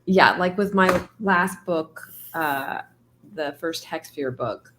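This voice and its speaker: A woman hosting a writing stream, talking casually with many fillers and laughs, her voice going hoarse. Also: low voice, slow pace, background noise. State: losing her voice. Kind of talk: casual chat.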